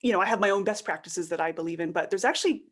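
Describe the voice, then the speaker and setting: Woman, 30s, podcast guest; upbeat, conversational, animated quoting. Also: on the low side, rapid-fire. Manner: enthusiastic, laughs often, acts out quotes. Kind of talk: casual conversational interview.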